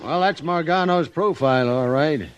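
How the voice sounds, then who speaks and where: A man's voice: on the high side, normal speed, noisy background, close to an everyday voice. A man playing a belligerent, blustering frontier settler, scornful and hot-headed, in a 1950s radio western. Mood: angry, scornful. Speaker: supporting actor in a western radio drama.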